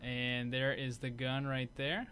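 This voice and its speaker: A man's voice: mid-range voice, flat delivery, slow, sharp tone. A man giving casual, unscripted commentary as host of a gaming video. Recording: clear background noise.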